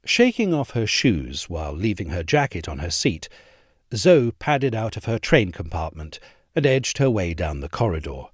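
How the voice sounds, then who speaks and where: A man reading a history essay aloud in a measured, expressive storytelling manner, close to a studio mic. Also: deep voice, husky, no room sound. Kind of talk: scripted narration.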